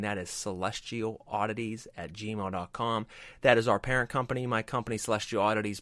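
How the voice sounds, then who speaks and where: A man hosts a talk show, welcoming his audience and guest with eager energy and frequent fillers. His voice sits on the low side. The recording is dry, with no room sound. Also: fairly bright tone, no room sound. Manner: enthusiastic, promotional, frequent "um" and "uh". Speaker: host.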